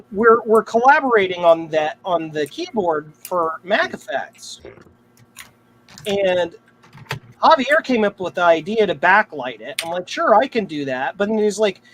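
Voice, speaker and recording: A man's voice: high voice, sing-song, measured pace, a bit bright. A man hosting a live stream, chatting casually in a jokey, slangy way. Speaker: livestream host. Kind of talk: casual live-stream banter about retro computers. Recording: noisy live stream, room ambience.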